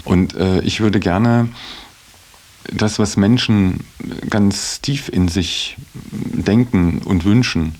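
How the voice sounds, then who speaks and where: A man's voice: low voice, slow, a little mellow. A man giving a reflective interview in earnest, articulate phrases, hesitating often as he weighs his words. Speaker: interviewee in a radio feature.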